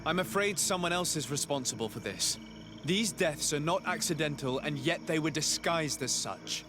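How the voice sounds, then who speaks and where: An actor playing a courteous, composed envoy investigating in a video game, speaking formally and deliberately. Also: male voice, fairly high pitch, animated, over music, natural voice. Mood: coolly accusing. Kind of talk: measured game dialogue.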